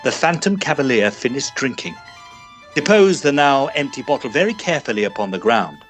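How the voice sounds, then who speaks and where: A man playing an easily flustered Edwardian gentleman ghost hunter, earnest and old-fashioned, in a tongue-in-cheek audio drama. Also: mid-range voice, big pitch swings, brisk, faint music behind. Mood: dramatic storytelling.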